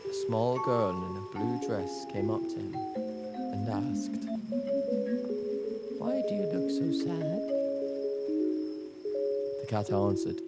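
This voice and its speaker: A man narrating a fable like a storybook, slow and solemn. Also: fairly low pitch, expressive. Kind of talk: storybook narration over music. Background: loud music.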